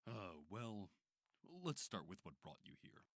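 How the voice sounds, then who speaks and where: Low-voiced clinical psychiatrist. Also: male voice, expressive, no echo, clean studio sound. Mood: politely probing. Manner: measured, formal, clinical. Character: smooth, probing male psychiatrist running an institute. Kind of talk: audio drama acting.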